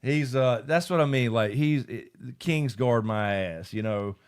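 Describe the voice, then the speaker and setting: A man's voice: medium pitch, measured pace, clean tone. A man hosting a casual livestream after-show, rambling, swearing and laughing as he reads out viewer comments. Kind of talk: casual live chat, reading viewer comments.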